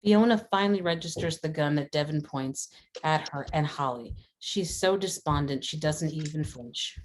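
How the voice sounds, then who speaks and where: Woman reading out screenplay directions, really low voice for a lady. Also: moderate pace, a little hiss or hum, poor audio. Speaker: stage directions narrator. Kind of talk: scripted screenplay narration.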